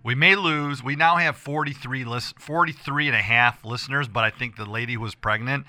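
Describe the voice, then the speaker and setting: Hoarse american guy doing radio host talk, really sharp forceful voice, rambles n repeats himself, dry studio sound. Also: mid-range voice, fairly quick, no room sound. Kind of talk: casual radio talk with announcements to listeners.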